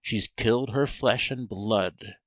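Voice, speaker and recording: A man's voice: lowish voice, takes it slowly, a bit bright, no echo, studio quality. A man playing a grandiose cult prophet turned coarse swindler in an audiobook drama, blustering theatrically with an American accent. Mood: indignant.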